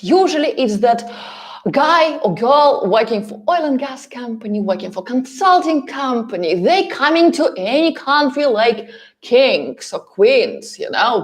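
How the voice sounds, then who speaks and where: A woman from Russia with a Russian accent gives a motivational coaching talk, speaking emphatically and directly to her listeners with frequent repetition for stress. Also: a little high. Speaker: relocation coach and course host.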